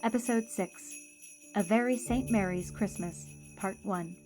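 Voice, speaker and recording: A woman's voice: medium pitch, a little slow, over music. A woman reading a scripted podcast introduction as an announcer. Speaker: announcer of show title and episode.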